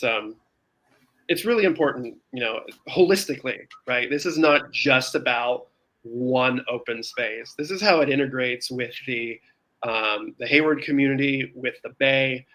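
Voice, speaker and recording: A man's voice: medium pitch, pushed and bright, rough recording. Earnest man explaining in conversation. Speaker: interview guest, open space campaigner. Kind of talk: conversational interview answers.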